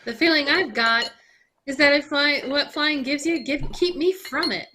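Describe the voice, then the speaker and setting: A woman's voice: high-pitched, normal speed, bright, forceful tone, a little hiss or hum. A woman playing an outspoken, sardonic female sidekick in an old-time radio mystery, with folksy exclamatory delivery. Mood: sardonic, challenging.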